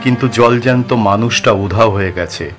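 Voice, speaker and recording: A man reading a scripted horror story as storyteller, dramatic and suspenseful, with deliberate pauses. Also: on the low side, faint music behind.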